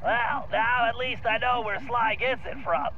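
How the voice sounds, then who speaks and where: High, nasal, nerdy turtle mastermind. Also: male voice, steady tone, a little slow, phone-quality sound. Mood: instructive, earnest. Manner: precise, technical, articulate. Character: brainy, nerdy male turtle mastermind, earnest planner. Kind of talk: animated video game voice acting, mission briefing.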